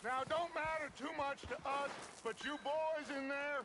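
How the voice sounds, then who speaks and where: An actor playing a theatrical, authoritative American outlaw gang leader in a western video game. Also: male voice, very high-pitched, steady tone, a little slow, noisy background. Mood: commanding. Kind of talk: dramatic video game acting.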